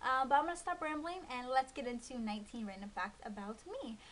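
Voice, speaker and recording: A woman's voice: sits high, expressive, fairly quick, a bit bright. A woman in her early twenties chatting casually to camera, rambling with lots of ums and likes. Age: 20s. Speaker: beauty vlogger. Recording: faint hiss.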